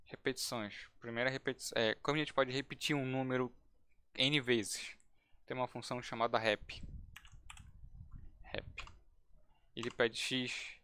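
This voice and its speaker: A man's voice: medium pitch, fairly bright tone, clear, resonant tone. Casual man teaching coding. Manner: casual, thinking aloud with restarts. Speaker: instructor. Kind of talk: live-streamed coding lesson.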